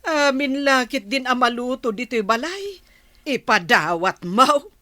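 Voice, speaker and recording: A woman's voice: high voice, lively intonation, measured pace, sharp tone, no room sound, heavily acted. A woman with an Ilocano accent playing a suspicious, nagging wife, pointed and accusing, in a comedy radio drama.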